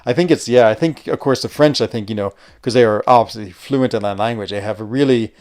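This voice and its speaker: Young American male streamer: resonant, mid-pitched, casual and rambling. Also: fast, a little mellow. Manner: rambling, chatty, hesitant with fillers. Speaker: gaming livestream host. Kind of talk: casual live-stream chat while playing.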